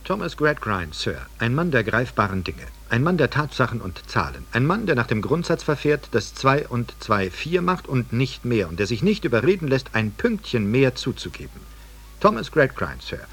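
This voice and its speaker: A man's voice: medium pitch, big pitch swings, normal speed, vocal fry, a bit bright, breathy edge, faint music behind, heavily acted. A man playing a stern, pompous, fact-obsessed schoolmaster in a radio play, theatrical and emphatic in delivery. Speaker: narrator reading a literary excerpt. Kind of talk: dramatic literary reading in a radio play.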